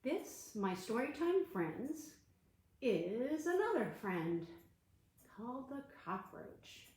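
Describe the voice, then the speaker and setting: A woman children's librarian leading an online storytime, reading and leading movement games in an animated, sing-song way. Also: a little high, slow pace. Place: library room. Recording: very echoey room.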